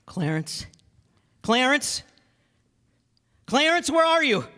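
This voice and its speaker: Guy playing a worked up family man in an old radio play, high voice, stammery, big hall reverb. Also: big pitch swings, slow, pushed and bright, full character voice. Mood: desperate pleading. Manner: stammering, impassioned pleading. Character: desperate, emotional young family man, pleading then overjoyed. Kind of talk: live radio play acting. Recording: stage hall with long reverb.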